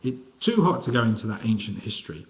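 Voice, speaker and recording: A man's voice: lowish voice, sing-song, quick pace, highs cut off. A man voicing a huge, jolly old female ex-wrestler with a guttural voice. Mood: hearty.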